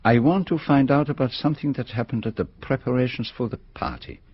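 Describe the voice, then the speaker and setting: Radio drama casting: man as older foreign sleuth, formal, measured, courteous, husky voice, dry close sound. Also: medium pitch, no room sound, close to an everyday voice. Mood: inquisitive, calm. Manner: formal, precise, measured, polite questioning. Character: courteous, probing, elderly male foreign detective. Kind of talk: radio mystery drama acting. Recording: dull, lo-fi radio drama recording.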